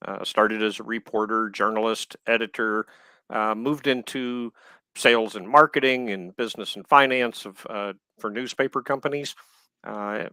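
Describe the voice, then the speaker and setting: A man in his sixties from Texas with a Southern accent, an author answering interview questions in a live video chat, unhurried, pausing on ums, with dry humor. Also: mid-range voice, pushed and bright, clean tone, no room sound. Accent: Southern American. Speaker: author guest. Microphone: video call.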